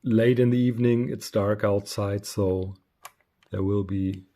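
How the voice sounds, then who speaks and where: A man giving a casual, unscripted product review, speaking haltingly with frequent pauses. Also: fairly low pitch, steady tone, mellow. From Germany. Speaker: radio reviewer.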